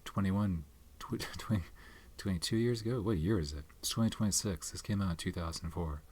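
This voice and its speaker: A man speaking softly in an ASMR movie chat, rambling and pausing to recall names. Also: deep voice, slightly rough. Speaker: ASMR host reviewing movies. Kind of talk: soft-spoken ASMR chat.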